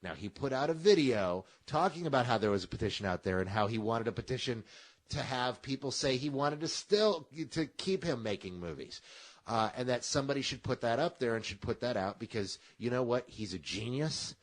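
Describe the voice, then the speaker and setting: A man's voice: medium pitch, brisk. A man co-hosting a podcast, talking in a casual, profane, opinionated rant. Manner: animated, profane, opinionated rants. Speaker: podcast co-host. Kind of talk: casual chat.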